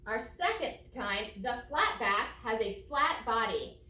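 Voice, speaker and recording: Female teacher, high lively pitch, slow deliberate delivery, children's read-aloud lesson, echoey room, distant mic. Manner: deliberate, explanatory, spelling out steps for young pupils. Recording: roomy echo, distant from microphone.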